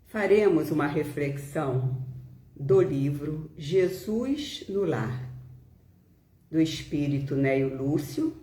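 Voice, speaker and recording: A woman with a Brazilian Portuguese accent leading a devotional reflection with prayer and reading, solemn and deliberate, pausing between short phrases. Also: sits low, warm tone. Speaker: volunteer leader of a spiritist prayer live stream. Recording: roomy, echoing home live stream.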